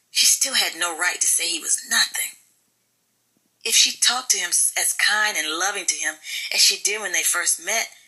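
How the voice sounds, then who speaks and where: A woman's voice: medium pitch, bright, forceful tone, slightly rough, poor audio. A woman reading scripted fiction as an audiobook narrator.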